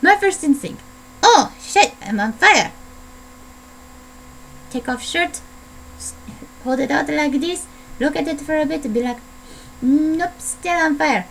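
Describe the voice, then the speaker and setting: Young woman, very high voice, mock Italian accent, playful rambling video diary, clear background noise. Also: lively intonation, slow pace, pauses often, rough recording. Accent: put-on Italian. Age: young adult. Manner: playful, self-deprecating, rambling. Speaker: vlogger.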